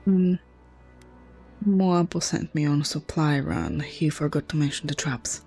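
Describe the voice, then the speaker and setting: A woman streaming a game, her voice low and husky with a gravelly edge. She talks slowly and mutters to herself, with a soft, mellow tone. Faint music sits behind her. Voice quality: hoarse, low voice. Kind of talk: casual gameplay commentary.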